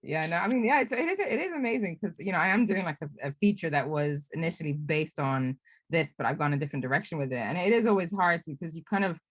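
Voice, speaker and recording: A woman's voice: sits low, lively intonation, fast, no room sound. A woman of Lebanese origin in her thirties, a film director answering fan questions on a video call, chatty and self-reflective with frequent laughs and restarts. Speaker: film director being interviewed.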